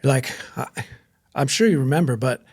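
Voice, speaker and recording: A man's voice: medium pitch, animated, brisk, a little mellow, breathy edge, no room sound, studio quality. A man hosting a podcast interview, asking supportive, probing questions. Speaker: podcast host.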